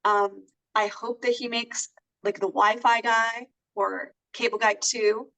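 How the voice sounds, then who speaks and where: A woman's voice: fairly high pitch, level tone, takes it slowly, creaky, a bit bright. A woman co-hosting a casual movie review over a remote call, speaking hesitantly with many fillers. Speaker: co-host. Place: in California. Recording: voice distant from the mic.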